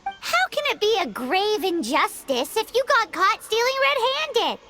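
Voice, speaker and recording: A tiny fairy sidekick from a fantasy game, squeaky, childlike and blunt. The extremely high voice swings in huffy indignation, with clear music underneath. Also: female voice, sing-song, measured pace, exaggerated voice. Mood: indignant. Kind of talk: fantasy video game voice acting. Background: background music.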